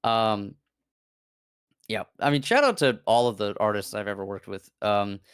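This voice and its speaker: Man, mid-pitched, smooth resonant voice, dry close mic, casual cursing chatter. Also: moderate pace, no room sound. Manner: animated, swearing, laughing, frequent fillers. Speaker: VTuber guest. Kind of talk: casual stream chat.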